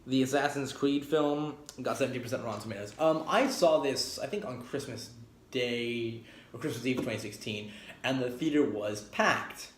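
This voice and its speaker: The recording is echoey, with faint traffic noise behind the voice. A man of average pitch talks casually, like a reviewer airing his opinions. He rambles and corrects himself, leaning hard on the points he wants to make. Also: moderate pace. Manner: rambling, self-correcting, emphatic. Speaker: video game movie reviewer. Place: echoey spot up by a street. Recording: echoey, noisy, near street traffic. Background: traffic and engine noise.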